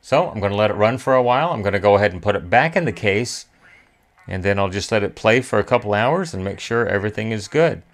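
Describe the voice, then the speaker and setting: A middle-aged man with an American accent and a fairly low voice hosts a hands-on repair video. He explains each step methodically and in plain-spoken terms, as a tutorial narrator at the workbench. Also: lively intonation, fast talker. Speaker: electronics repair video host.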